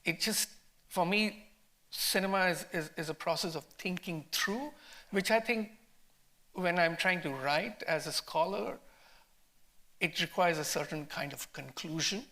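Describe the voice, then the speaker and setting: A man's voice: high voice, unhurried, bright, forceful tone. A man who is a film director and scholar, answering on a panel in a reflective, emphatic way, repeating phrases as he thinks.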